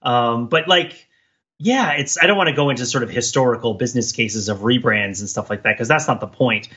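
Bright-voiced rambling man, slightly muffled recording. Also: mid-range voice, fairly quick. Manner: rambling, digressive. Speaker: podcast co-host. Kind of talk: casual pop-culture discussion.

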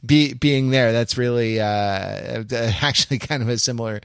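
A man's voice: mid-range voice, normal speed, gravelly, clear, resonant tone, no room sound, studio quality. A man co-hosting a casual podcast, wry and mock-erudite, circling his point with hesitations and restarts. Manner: hesitant, digressive, many restarts. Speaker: podcast co-host.

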